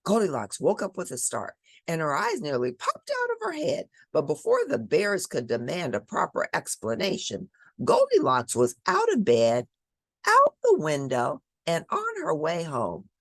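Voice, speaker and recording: A woman's voice: low voice, huge pitch swings, measured pace, no room sound. A woman reading a picture book aloud to children, expressively, with dramatic emphasis and chatty asides. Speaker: children's storybook reader.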